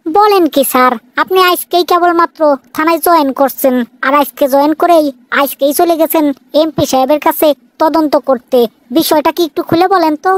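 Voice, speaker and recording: A woman plays a deferential cartoon policewoman with a Bangladeshi accent. Her voice is high and dryly recorded, chatty and inquisitive as she addresses her superior respectfully. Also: a little fast, dry recording, lightly acted, rough recording. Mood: curious. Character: deferential, inquisitive single female police sub-inspector. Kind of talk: cartoon dialogue.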